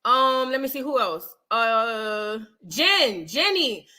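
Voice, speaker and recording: A woman from New Orleans with a New Orleans accent hosts a casual livestream, her voice bright and forward. She sits a touch higher than most women and chats loosely with her viewers. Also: expressive, takes it slowly. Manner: warmly thanks viewers, calls them baby. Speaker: livestream host.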